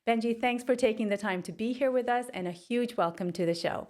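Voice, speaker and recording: A woman's voice: fairly high pitch, brisk, darkish tone. A woman in her forties hosting a podcast, chatting in a friendly way and affirming her guest often. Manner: friendly, laughs easily, brief affirmations. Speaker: podcast host. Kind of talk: casual interview chat.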